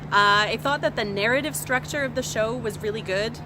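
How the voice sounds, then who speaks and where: A woman's voice: fairly high pitch, fairly quick, noisy background. A woman giving a casual spoken theatre review, musing tentatively as she thinks aloud.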